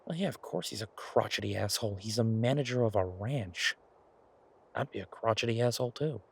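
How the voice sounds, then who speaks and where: A man playing an earnest, playful boyfriend in a naturalistic one-sided audio roleplay, talking in a halting, wry, conversational way. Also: fairly low pitch, gravelly, slightly rough. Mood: wry, amused. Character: earnest, playful werewolf boyfriend pitching a farm venture.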